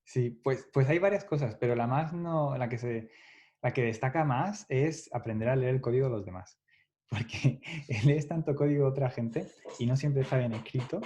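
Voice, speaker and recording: Mellow-voiced man, thoughtful. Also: medium pitch, normal speed. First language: Spanish. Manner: reflective, restarts phrases often, laughs lightly. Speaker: guest developer. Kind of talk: casual podcast interview. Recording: dull lo-fi podcast audio.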